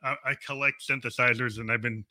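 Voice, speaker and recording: A man's voice: mid-range voice, fairly even intonation, fairly quick, roomy sound, crowd noise. A man in his fifties hosting an interview, rambling casually with many restarts. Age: 50s. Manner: rambling, restarts often, laughs easily. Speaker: radio show host.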